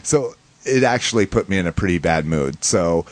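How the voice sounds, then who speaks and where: A man from the United States chatting casually as a podcast host, in a rambling, halting, informal way. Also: low voice, lively intonation, no room sound. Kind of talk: casual chat, recounting a fan convention.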